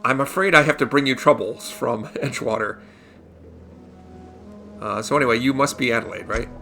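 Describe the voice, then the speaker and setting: A man hosting a casual let's play, his voice gravelly as he fights a cold, talking into a desktop microphone. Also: mid-range voice, normal speed, sharp tone. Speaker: gaming channel host. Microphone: USB desktop condenser microphone. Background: game music.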